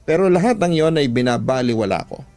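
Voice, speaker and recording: A man's voice: medium pitch, animated, fairly quick, a little mellow. A man with a Filipino accent telling a love story as a radio drama storyteller, in an emotive, sentimental scripted style. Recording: music bed behind the voice.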